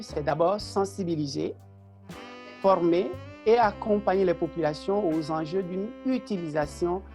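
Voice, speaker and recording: Man with a creaky voice. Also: fairly high pitch, a little mellow. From Togo. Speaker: panelist, teacher and director of a media education organization. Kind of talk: prepared presentation in a webinar.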